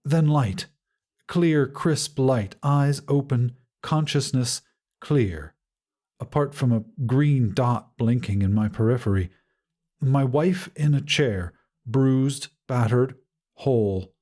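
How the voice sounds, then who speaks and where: A man narrating a scripted first-person story in a measured, dramatic way with deliberate pauses. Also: mid-range voice, warm tone. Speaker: audiobook narrator.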